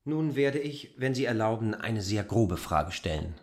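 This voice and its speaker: A man's voice: lowish voice, expressive, measured pace. A man playing a persistent, uneasy land surveyor in a literary radio drama, formal yet pointed in his questioning. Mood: probing, polite.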